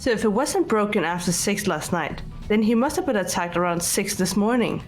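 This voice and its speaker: A woman playing a composed, logical student detective in an amateur game dub, speaking in a measured way over music. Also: medium pitch, expressive, close to an everyday voice, rough recording. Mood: calm, analytical. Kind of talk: scripted amateur visual novel voice acting. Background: background music.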